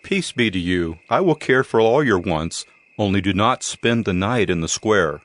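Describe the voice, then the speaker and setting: An actor playing a hospitable old householder, earnest and deliberate, in a dramatized scripture reading. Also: male voice, lowish voice, expressive, no echo, natural voice. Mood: reassuring. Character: hospitable old man, pleading and protective householder. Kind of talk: dramatized audio Bible acting. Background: background music.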